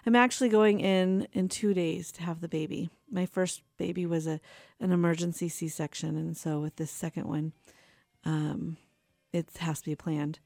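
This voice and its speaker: A woman's voice: on the low side, steady tone, normal speed, vocal fry, a little mellow, no echo, studio quality. A woman of forty with a young-sounding voice, hosting a radio show in a rambling, personal way, tired and laughing often. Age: 40s. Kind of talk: casual chatty radio talk.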